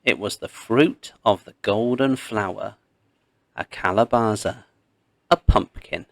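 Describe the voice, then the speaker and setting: A man reading a myth aloud as a storybook narrator, expressive and measured, with pauses. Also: fairly low pitch, creaky, no echo.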